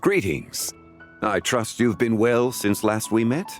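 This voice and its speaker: A man playing a calm, courtly gentleman in a video game, with a formal, measured way of speaking. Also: lowish voice, soft background music. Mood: calm, amused.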